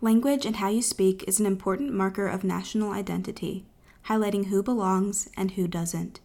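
A woman's voice: mid-range voice, normal speed, mellow. A woman narrator reading a scripted, explanatory documentary piece.

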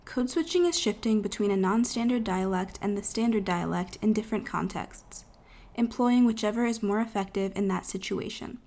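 Mid-pitched woman narrating an explainer. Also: normal speed, dark tone.

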